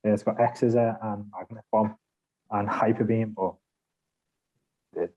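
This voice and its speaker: Man, low-ish voice, warm and mellow, casual podcast panelist, rambling, self-deprecating. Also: fairly even intonation, measured pace, highs cut off. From Liverpool, England. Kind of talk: casual gaming chat.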